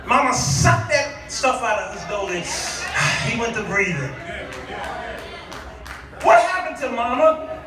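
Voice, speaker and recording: A middle-aged American man preaching a humorous, animated storytelling sermon, often throwing questions out to his congregation. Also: very high voice, slow, pauses often, a crowd in the background. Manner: animated, declamatory, often calling on the listeners with questions. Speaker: guest preacher. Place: large echoey room with a congregation. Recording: echoey hall, distant mic, audience noise.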